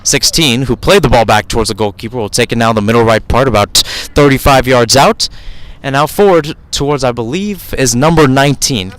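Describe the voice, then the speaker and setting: Male radio soccer commentator, mid-pitched voice, live outdoor call, digressive and self-correcting. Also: fairly quick, a bit bright, low-quality recording. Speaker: radio play-by-play announcer. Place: outdoors at youth soccer fields.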